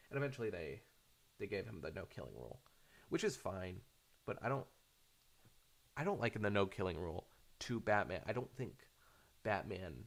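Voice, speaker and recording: Man, low gravelly voice, swooping intonation, casual rambling, hesitant and halting delivery. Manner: hesitant, halting, trails off into tangents. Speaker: fandub creator talking off the cuff.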